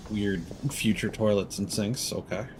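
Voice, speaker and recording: A man's voice: fairly low pitch, little pitch movement, measured pace, background noise. A man giving casual, wry gameplay commentary as a streamer, in a laid-back way. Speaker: game streamer.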